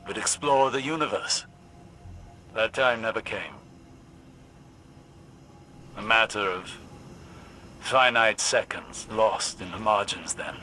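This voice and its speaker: A man playing a weary, reflective warrior mentor in a video game, speaking in measured, deliberate, poetic phrases. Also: fairly low pitch, bright, forceful tone, a little hiss or hum. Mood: solemn, reflective. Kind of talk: dramatic video game monologue.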